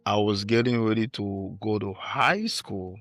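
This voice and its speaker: Male guest, forties, low and gravelly, attentive conversationalist. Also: unhurried, dry recording, faint music behind. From Cameroon. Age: 40s. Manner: warm, attentive listener with constant short affirmations. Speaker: podcast guest. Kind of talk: casual conversational interview.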